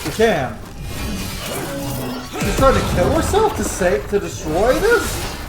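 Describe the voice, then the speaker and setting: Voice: medium pitch, huge pitch swings, slow, recorded from a distance. A gamer giving casual, sweary and enthusiastic let's play commentary while playing a video game. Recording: echoey room, loud game music behind. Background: loud video game music.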